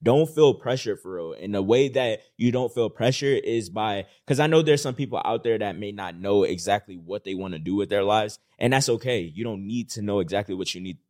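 Low-voiced man giving earnest advice. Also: expressive, brisk, few pauses, a little mellow, dry recording, clean studio sound. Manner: earnest, encouraging, filler-heavy with "like". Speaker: podcast co-host. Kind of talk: casual podcast chat.

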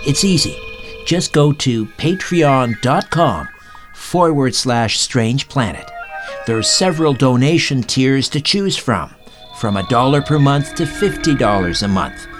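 A man's voice: mid-range voice, animated, a little slow. Male podcast host over music. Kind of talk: interviewing guests and scripted show sign-off.

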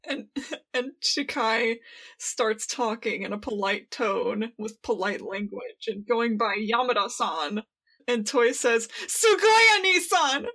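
A woman co-hosting a casual fan podcast, laughing as she talks and excitedly acting out the lines she quotes. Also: on the high side, takes it slowly. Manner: giggly, laughs while talking. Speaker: podcast co-host.

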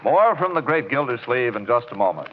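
A phone-like, muffled 1940s radio recording. A male announcer delivers a commercial in a medium-pitched voice with very animated, swinging inflection. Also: brisk, noisy background.

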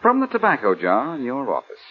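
An American actor playing a glib, self-assured amateur detective in a 1940s radio drama. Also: male voice, mid-range voice, huge pitch swings, fairly quick. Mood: smug, taunting. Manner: glib, taunting, explanatory. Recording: dull, husky vintage radio recording.

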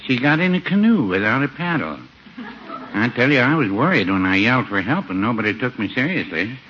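A dull, faintly hissy old radio recording features a man playing a folksy older shopkeeper. He speaks at a middling pitch, deadpan and drawn-out, gently needling as he goes. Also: close to an everyday voice. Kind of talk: 1950s radio situation comedy acting. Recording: dull, slightly noisy 1950s radio recording.

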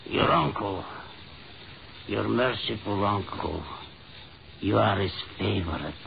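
Slow, gravelly old Russian prisoner. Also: male voice, on the low side, full character voice. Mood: grim, menacing. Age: older. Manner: terse, halting, repeating phrases. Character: old, embittered Russian prisoner, slow-witted seeming and brooding. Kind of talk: 1940s radio horror drama acting. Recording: muffled old 1940s radio recording, hiss.

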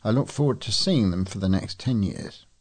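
Man, husky rough-edged voice, lowish pitch, scripted news reading, volunteer newsreader. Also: lively intonation, normal speed. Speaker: volunteer local news reader.

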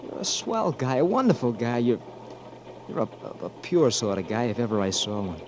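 A man's voice: medium pitch, very animated, brisk, a little mellow, slight background noise, natural voice. An actor playing a frank, caring young Marine who pleads earnestly with his friend, in 1940s radio-drama style. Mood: tender.

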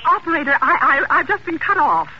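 A woman's voice: sits high, very animated, brisk. An actress playing a frantic, self-important married woman in an old radio drama, stammering and indignant as she talks haughtily on the telephone. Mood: alarmed. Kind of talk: golden age radio drama acting. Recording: vintage radio broadcast, muffled, hissy.

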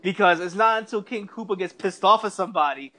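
A man co-hosting a podcast, chatting casually and bantering in an animated, slangy way with some swearing. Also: high-pitched, quick pace. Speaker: podcast co-host. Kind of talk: casual chat about movies.

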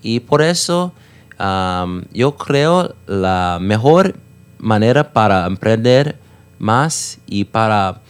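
A man's voice: fairly low pitch, animated, drawn-out words, clean tone. A man whose first language is English, chatting as a podcast guest in hesitant learner's Spanish, full of fillers and self-corrections. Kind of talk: casual chat.

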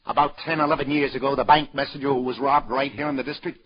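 An actor playing a brisk, wisecracking New York police detective in a vintage radio crime drama, with slangy delivery. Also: male voice, medium pitch, steady tone, crowd noise, natural voice. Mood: probing, musing. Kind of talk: 1940s-50s radio crime drama acting. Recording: dull vintage radio recording.

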